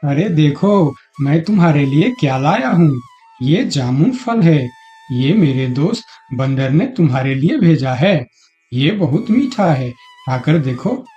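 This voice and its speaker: Meek, guilt-torn crocodile husband for a storybook cartoon, male actor; mellow, swooping delivery, earnest and pleading. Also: fairly high pitch, big pitch swings, slow. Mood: affectionate. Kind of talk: Hindi moral-story cartoon acting. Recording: dull lo-fi audio, music behind.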